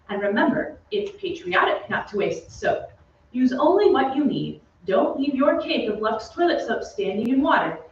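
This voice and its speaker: A persuasive 1940s radio announcer, played by a woman, reading a sponsor's advert. Her high voice rings around a very echoey stage, picked up from a distance. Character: wartime radio soap-advert announcer, smooth saleswoman. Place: theatre stage. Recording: echoey stage from far off, dull, noisy.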